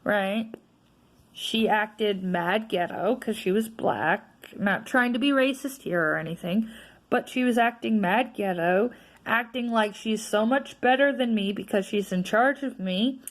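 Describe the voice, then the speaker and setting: A woman vlogger with a naturally loud voice, venting in a casual, frustrated rant that is full of tag questions. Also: medium pitch, unhurried. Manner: frustrated, ranting, frequent "right?" tags.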